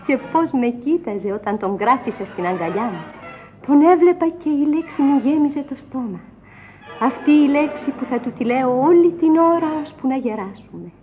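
A dreamy young waitress pours out her heart in a very high, swooping voice. Her delivery is ardent and theatrical, as in radio drama, over faint music. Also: animated. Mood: ardent longing. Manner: declarative, dramatic. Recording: dull old radio recording.

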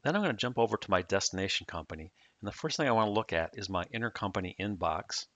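Male software demo narrator, lowish pitch, crisp forward tone, plain step-by-step delivery. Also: animated, fast talker, no echo, highs cut off. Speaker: software demonstrator. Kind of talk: scripted tutorial narration.

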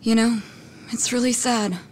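A woman's voice: on the high side, level tone, unhurried, a little hiss or hum, close to an everyday voice. An actress playing a blunt, wounded young woman in a video game, speaking frankly and with emotion. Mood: regretful. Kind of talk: English game voice acting.

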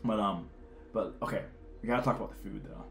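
A man's voice: fairly low pitch, quick pace, gravelly. A young man chatting casually in a living room, telling stories animatedly with lots of fillers and acted-out quotes. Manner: animated storyteller, acts out quotes, many fillers. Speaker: host, former reality show contestant. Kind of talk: casual chat. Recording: roomy living room with faint music.

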